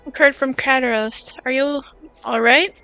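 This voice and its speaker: Bright-voiced, composed fantasy heroine. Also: female voice, sits high, fairly even intonation, measured pace, clear, resonant tone, lo-fi sound, soft background music. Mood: concerned. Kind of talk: dramatic video game voice acting.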